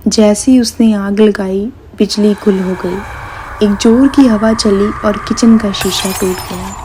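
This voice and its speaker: A woman's voice: medium pitch, fairly even intonation, slow pace. A woman reading a scripted horror story as its narrator, with faint noise behind.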